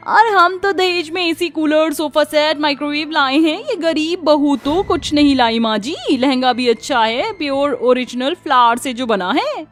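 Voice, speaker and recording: A woman's voice: sits high, expressive, unhurried, pitched above the performer's own voice. A woman voicing haughty young rich daughters-in-law in a cartoon, snobbish and sassy. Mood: mocking. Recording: music under the voice.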